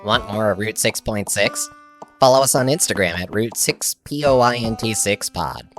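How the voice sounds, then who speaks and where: A man's voice: on the low side, animated, moderate pace, a bit bright, soft background music. A man reading a scripted podcast outro as the show's announcer.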